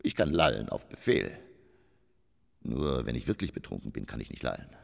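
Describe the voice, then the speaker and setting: An actor playing a vain, hard-drinking stage performer in a radio drama, pompous and declamatory, with a husky, gravelly voice. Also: male voice, rumbling low, animated, moderate pace, heavily acted. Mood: boastful, brooding. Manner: declamatory, pompous, swinging from murmur to outburst. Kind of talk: German radio drama acting. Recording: long reverberant hall.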